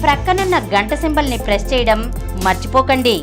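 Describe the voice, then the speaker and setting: A woman's voice: high-pitched, lively intonation, a little fast. A woman reading a channel promotion voice-over in an upbeat tone, urging viewers to subscribe and share. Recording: voice-over with music behind.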